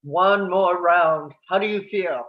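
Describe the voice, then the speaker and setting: A man's voice: sits high, slow, dull audio. A man playing a worried boxing cornerman, urgent and clipped, in a script table read.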